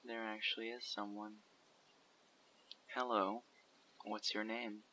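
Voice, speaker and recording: A man voicing a guarded survivor leader who recruits people for a community, asking measured, probing questions in an audio roleplay. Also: fairly low pitch, little pitch movement, sharp tone, slight background noise. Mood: calm, probing. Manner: measured, probing, matter-of-fact. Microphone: USB condenser microphone.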